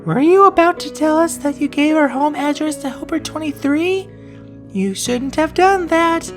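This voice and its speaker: Man doing an eerie falsetto mother. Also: normal speed, a bit bright, faint music behind. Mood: eerie scolding. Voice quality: strained falsetto. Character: unnatural falsetto mother, eerie scolding impostor.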